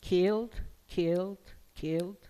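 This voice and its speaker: An older Armenian woman with an Armenian accent, reading her own poems at a literature festival in slow, measured phrases. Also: fairly low pitch, fairly even intonation, warm tone. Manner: slow, measured recitation with hesitant asides. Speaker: guest poet. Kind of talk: poetry reading. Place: festival hall. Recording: long hall reverb.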